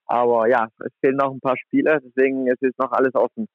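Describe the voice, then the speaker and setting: Young male athlete guest, casual and chatty, mid pitch, heard over a thin phone line. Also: quick pace, dry recording. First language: German. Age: 20s. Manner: relaxed, chatty, many fillers like "sag ich mal". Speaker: guest handball player. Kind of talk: casual interview.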